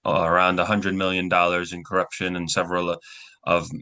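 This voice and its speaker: A man's voice: low-pitched, completely flat delivery, moderate pace, pushed and bright. A man in his thirties from the United States, whose first language is Ukrainian, giving methodical interview answers as a guest journalist. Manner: methodical, lists things item by item.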